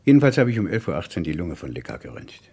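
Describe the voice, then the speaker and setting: A man acting a grave, discreet professor of surgery in a German radio crime drama, gravelly and husky, speaking formally with careful hesitations. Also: deep voice, big pitch swings, fast talker, warm tone, exaggerated voice. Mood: reserved, careful.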